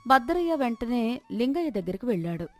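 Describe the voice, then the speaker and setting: A woman's voice: a little high, very animated, a little fast. A woman reading a scripted moral story as its narrator.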